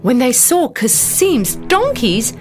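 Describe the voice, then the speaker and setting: A woman narrates a children's tale in an expressive, dramatized way. Her pitch moves around a great deal, and music plays clearly behind her voice. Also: takes it slowly. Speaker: storyteller narrator. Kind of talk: scripted children's story narration.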